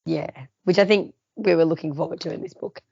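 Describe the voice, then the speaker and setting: A woman's voice: on the low side, brisk, vocal fry, a little mellow, no echo, lo-fi sound. A woman co-hosting a podcast, chatting casually about a book and laughing often. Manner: chatty, laughs often, trails off mid-thought. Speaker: podcast co-host. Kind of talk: casual book club chat.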